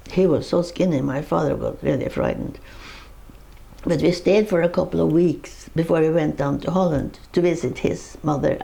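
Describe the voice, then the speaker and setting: A woman's voice: sits low, vocal fry, mellow. An older woman with a Norwegian accent, recalling her life in a personal interview, rambling through her story with laughs and restarts. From Norway.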